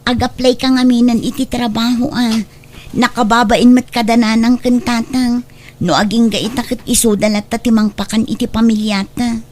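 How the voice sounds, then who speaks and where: A woman's voice: a little high, fairly even intonation, moderate pace, darkish tone, close to an everyday voice. A woman playing an earnest, emotional young Filipino woman in an Ilocano radio drama, speaking in a pleading way. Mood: reproachful.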